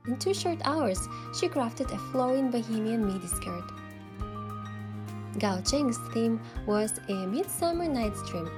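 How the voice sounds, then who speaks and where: A woman's voice: on the high side, animated, measured pace. A young woman playing a sincere, sensitive heroine who narrates her story in a game, earnest and careful, with music behind her. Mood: composed, tense. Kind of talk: scripted first-person game narration.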